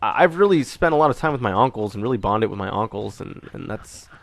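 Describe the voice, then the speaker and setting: Rambling young man, low voice, just over a cold. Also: expressive, quick pace, vocal fry, no echo. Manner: rambling, many fillers and restarts. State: recovering from a cold. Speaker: gaming channel host. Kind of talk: casual spoken channel update.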